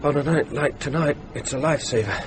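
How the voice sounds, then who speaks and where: An actor playing an amiable, shaken stranded motorist, talking in a mild, conversational way in a radio drama. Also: male voice, a little high, a little fast, natural voice. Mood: relieved, chatty. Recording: noisy radio drama recording.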